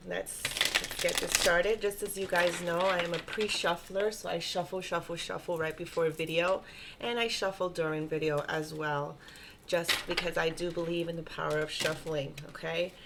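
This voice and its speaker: A woman with a stuffy, congested nose giving a gentle, reassuring spiritual tarot reading and often checking in with her listeners. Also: on the low side, fairly even intonation, normal speed, very noisy. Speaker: tarot reader.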